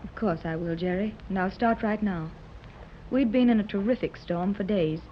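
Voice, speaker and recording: A woman acting an earnest, well-to-do mother in a 1930s radio adventure serial. She speaks clearly and hopefully in a medium-pitched voice. The recording is old and dull, with faint noise. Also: normal speed, natural voice. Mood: earnest recounting. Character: earnest, hopeful wealthy mother seeking lost daughter.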